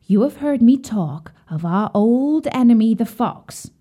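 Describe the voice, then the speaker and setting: A woman voicing an old, dying mother pig who speaks earnestly and a little louder, full of care for her young. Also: a little high, lively intonation, a little slow, voice pushed up. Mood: earnest warning.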